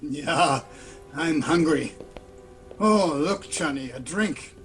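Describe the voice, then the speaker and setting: A man's voice: a little high, takes it slowly, fairly bright tone, faint music behind. A man playing a servile, hungry doctor who tags along as a villain's sidekick in a radio drama. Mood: eager, deferential. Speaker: radio play actor. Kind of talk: theatrical radio drama acting.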